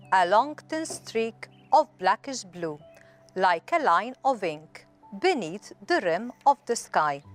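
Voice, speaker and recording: A woman reading a children's story aloud, with deliberate, expressive storytelling emphasis. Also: mid-range voice. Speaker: storybook reader.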